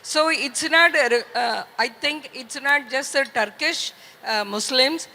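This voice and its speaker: A woman with an Indian accent giving her opinion as an audience member, in halting phrases with repeats. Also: high-pitched, bright, forceful tone. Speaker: audience member asking a question. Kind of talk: live audience question, opinion. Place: large festival hall. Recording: reverberant hall sound system.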